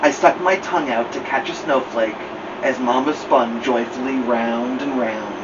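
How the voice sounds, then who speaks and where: A male storytime host reads a picture book aloud in an expressive, deliberate way, leaning on key words. He sits slightly away from the microphone. The sound is dull, with plain background noise. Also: mid-range voice. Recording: muffled, clear background noise, slightly distant.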